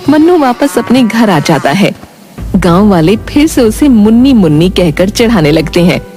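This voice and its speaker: A woman's voice: medium pitch, sing-song, normal speed, warm tone, faint music behind. A woman narrating a scripted bedtime moral story.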